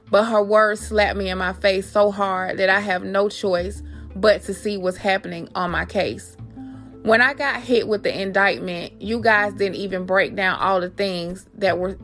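Female podcast host, medium pitch, familiar address to listeners, personal talk and reading aloud, background music. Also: fairly bright tone.

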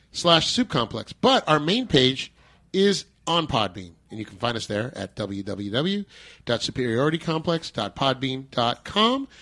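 A man's voice: medium pitch, sharp tone. Man reading a jokey podcast promo. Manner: mock-formal, jokey.

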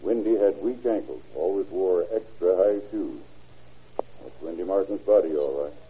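Low-voiced, laconic country deputy, muffled radio recording. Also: male voice, moderate pace, husky. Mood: grim, certain. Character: plain-spoken, methodical country sheriff's deputy. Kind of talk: old-time radio crime drama acting.

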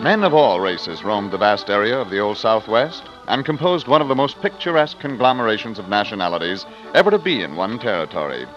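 An American man announces and narrates in a vintage radio style, with very lively intonation. The old recording is dull. An organ plays softly behind him. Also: fairly low pitch, fairly quick, hardly any pauses. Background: organ music.

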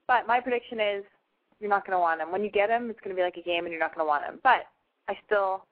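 A woman in her twenties from Los Angeles, hosting a call-in advice show, frank and gushing. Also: medium pitch. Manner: frank, gushing, talks fast. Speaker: call-in radio advice host. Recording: tinny phone-line sound.

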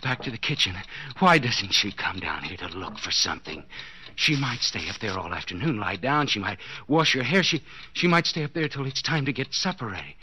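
An actor playing a desperate, guilt-ridden husband in a 1940s radio suspense drama, stammering and repeating himself breathlessly. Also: male voice, lowish voice, sing-song, brisk, bright, forceful tone. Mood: frantic pleading. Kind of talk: 1940s radio suspense acting, interior monologue. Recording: noisy, dull 1940s radio recording.

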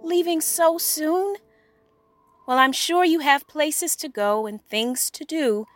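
A woman's voice: sits high, takes it slowly, dry recording, soft background music. A woman playing a kind, poor village dressmaker and mother in a children's story, welcoming and earnest. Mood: friendly, chatty. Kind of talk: children's story podcast character acting.

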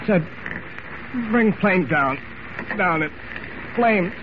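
An actor playing a badly hurt hired attacker pilot in a 1940s radio serial, gasping in broken phrases and groaning in pain. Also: male voice, high-pitched, animated, very slow, heavily acted. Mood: pained, dazed. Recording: noisy, phone-like old radio recording.

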